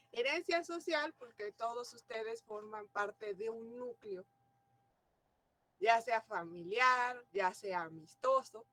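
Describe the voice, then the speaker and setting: A woman's voice: fairly high pitch, fairly bright tone, poor audio. Mexican woman lecturing a class. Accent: Mexican Spanish. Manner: explanatory, asks the class rhetorical questions. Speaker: teacher.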